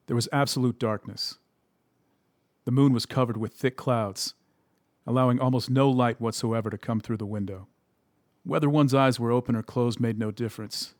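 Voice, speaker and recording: A man narrating a scripted supernatural horror tale in a measured, literary way. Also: medium pitch, no echo, studio quality, lightly acted. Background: music.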